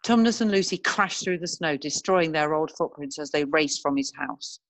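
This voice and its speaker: A woman narrating screenplay stage directions in a measured, descriptive reading style. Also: low-pitched, no room sound.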